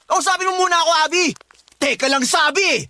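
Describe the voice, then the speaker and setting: A pushy, manipulative young suitor. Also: male voice, very high-pitched, fast talker, pushed and bright, dry recording, heavily acted. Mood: demanding. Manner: charming at first, then demanding. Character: smooth-talking young man, manipulative and pushy. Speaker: suitor Dennis. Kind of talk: radio drama acting.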